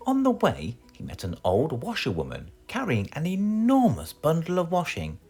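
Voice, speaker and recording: A man with a British accent reading a children's storybook aloud in a theatrical, expressive way. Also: a little high, normal speed, husky, soft background music. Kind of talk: animated children's storybook narration.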